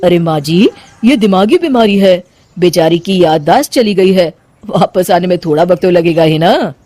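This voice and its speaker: A woman's voice: fairly low pitch, animated, moderate pace, dark tone, no room sound, soft background music. Woman as placating, glib cartoon doctor, dry sound. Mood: reassuring. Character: sham male doctor, reassuring and glib, in cartoon. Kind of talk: Hindi cartoon moral story acting.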